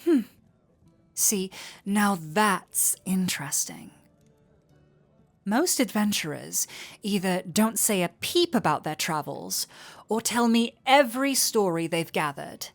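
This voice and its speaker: Slow, teasing fantasy innkeeper played by a woman. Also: mid-range voice, expressive, gravelly, soft background music. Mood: flirty, teasing. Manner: playful, coy, teasing, unhurried. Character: flirty, teasing androgynous tavern innkeeper in a fantasy world. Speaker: voice actor in audio roleplay. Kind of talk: intimate fantasy roleplay addressed to the listener.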